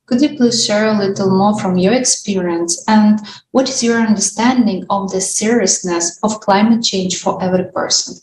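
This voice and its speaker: A woman interviews formally as a host in a medium-pitched voice. The room echoes around her, with faint noise behind. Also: moderate pace, few pauses, darkish tone.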